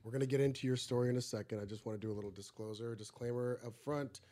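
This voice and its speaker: A man's voice: mid-range voice, steady tone, racing, warm tone. A man hosting a casual podcast in a studio, chatting and joking in a loose, rambling way. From Egypt. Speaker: podcast host.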